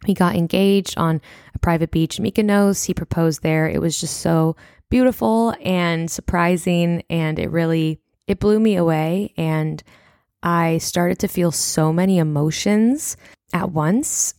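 A woman's voice: lowish voice, unhurried, darkish tone, smooth, dry recording, studio quality. A young woman in her twenties hosting her own podcast, talking in a reflective, chatty way and sounding congested. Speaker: podcast host. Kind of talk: solo podcast talk.

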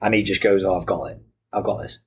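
A man hosting a casual sports podcast, telling stories in a lively, animated way. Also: low-pitched, fast, breathy edge. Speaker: podcast host.

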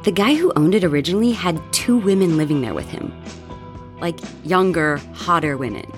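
Excitable young female roommate played by an actress, lowish voice with big swings, teasing and scheming, audio horror drama over music. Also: moderate pace. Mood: gossipy. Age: young adult. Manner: animated, teasing, dramatic storytelling. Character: excitable young woman roommate, gossipy, bold, scheming to party.

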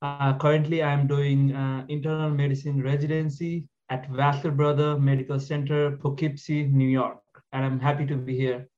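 A man's voice: mid-range voice, level tone, moderate pace, a little mellow. A young man from Nepal, a medical resident, giving plain and earnest advice in a podcast interview. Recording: dull lo-fi sound.

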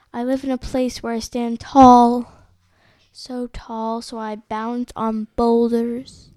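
A woman with a fairly high voice reads a picture book aloud as host. She takes it very slowly and haltingly, going back over words as she goes. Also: a little mellow. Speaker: host of a read-along.

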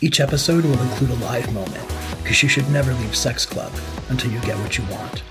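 A middle-aged man with a husky voice narrating a scripted first-person memoir as a podcast host. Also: medium pitch, fairly quick. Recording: close dry voice over faint music.

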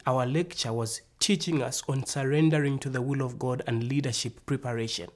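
A man's voice: medium pitch, darkish tone. A man from Zambia with a Zambian accent, narrating a prepared personal testimony in a formal, orderly way. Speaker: university graduate and minister giving testimony.

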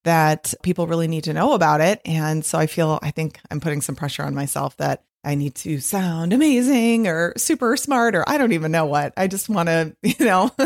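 Slightly husky chatty woman podcaster. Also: fairly low pitch. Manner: chatty, rambling, laughs often. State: slightly husky from long recording. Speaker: podcast host and author. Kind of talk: casual solo podcast monologue.

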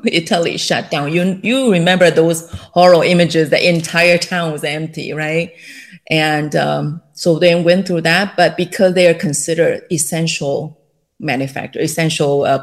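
A middle-aged woman with a Taiwanese accent, telling her story as a guest in an interview, with many fillers and light laughs. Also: low voice. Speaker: guest, company founder. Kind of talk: casual interview.

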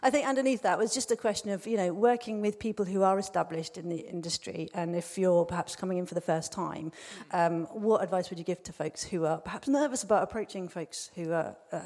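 A woman with a lowish voice puts a question at a live Q&A in a conversational way. Long hall reverb is audible around her. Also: fairly quick, few pauses, darkish tone. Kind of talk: spoken question, casual. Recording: echoey hall, live recording.

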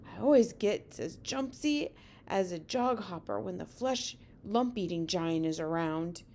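A woman voicing a gentle, friendly giant man with mangled folksy grammar and an earnest manner, as in a storybook read-aloud. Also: lowish voice, expressive, a little slow, slight background noise. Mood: nervous hushed urgency.

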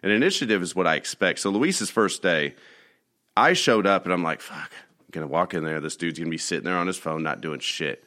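A man's voice: low-pitched, animated, fairly quick, sharp tone. A man hosting a podcast, teasing his guests and steering the conversation back on track. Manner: teasing, wisecracking, steers the talk. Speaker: podcast host. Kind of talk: casual chat.

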